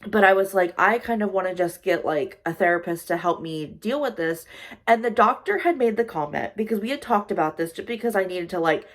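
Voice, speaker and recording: A woman's voice: medium pitch, animated, fairly quick. A woman in her twenties chatting casually in a vlog, rambling with lots of fillers as she talks through her worries. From United States. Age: 20s. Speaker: social worker and vlogger. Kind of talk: casual chat.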